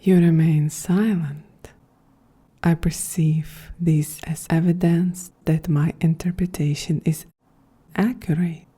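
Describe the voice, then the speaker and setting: A woman voicing a calm, quietly grandiose female android in a breathy, half-whispered, soft-spoken ASMR roleplay. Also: low voice, soft background music, exaggerated voice. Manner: slow, measured, solemn delivery.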